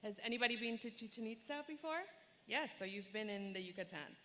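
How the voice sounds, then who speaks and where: A woman's voice: mid-range voice, animated, fast, pushed and bright. A woman from Venezuela, a native Spanish speaker, introducing her course to an audience in a friendly, enthusiastic way. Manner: friendly, enthusiastic, laughs easily. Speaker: course instructor. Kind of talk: class preview talk. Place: lecture hall, in front of audience. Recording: long hall reverb, faint room noise.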